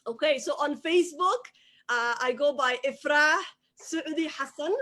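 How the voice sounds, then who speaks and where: High-voiced woman. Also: normal speed, bright, forceful tone. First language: Somali. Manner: emphatic, repeats key phrases for emphasis. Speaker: guest, business owner. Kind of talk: motivational interview talk.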